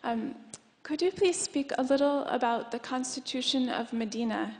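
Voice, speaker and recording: Young woman, bit high voice, reading a formal question, echoey hall. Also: normal speed, darkish tone. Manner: formal, reads a prepared question. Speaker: audience questioner.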